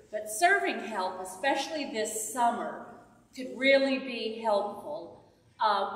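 An older woman, a church volunteer, explaining a community meal in a plain, loud voice, standing in a large sanctuary without a mic and picked up by a far camera. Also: fairly high pitch, a little hiss or hum. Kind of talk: announcement. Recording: echoey hall from far off.

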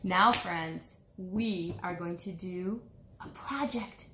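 A woman in her forties doing an animated storytime read-aloud for young children, expressive and sing-song, with drawn-out words. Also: lowish voice, background noise. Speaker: children's author reading a picture book aloud. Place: small indoor room, seated before a bulletin board. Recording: roomy small-room echo.

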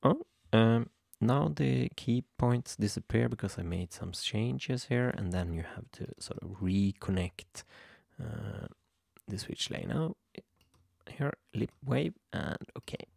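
A young man from Sweden with a Swedish accent, hesitantly walking through a software tutorial at his computer, with many ums and restarts. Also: fairly low pitch, lively intonation, slow pace, creaky, no echo, studio quality. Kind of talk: screen-recorded software tutorial. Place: home room at a computer.

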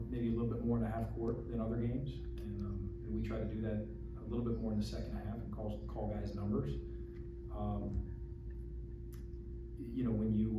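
Coach guy, middle-aged, lots of restarts, echoey room picked up from a distance, noisy. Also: on the low side. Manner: thoughtful, halting, many restarts and fillers. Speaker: basketball head coach. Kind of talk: postgame press conference answers. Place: press room at a podium. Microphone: podium mic on a stand. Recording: echoey press room from a far camera.

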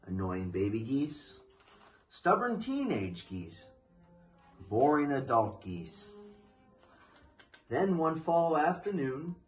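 A young man reading a children's picture book aloud in an expressive, deliberate storytelling manner. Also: medium pitch, soft background music. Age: young adult. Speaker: storybook reader. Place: living room, indoors.

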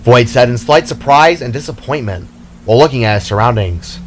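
A man's voice: medium pitch, animated, brisk, soft background music. A man reading his fantasy story aloud as a dramatic scripted narration. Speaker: author narrating own story.

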